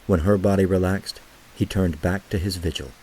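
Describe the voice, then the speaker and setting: Very deep, husky male story narrator. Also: steady tone, normal speed, warm tone, poor audio. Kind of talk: scripted story narration, audio drama.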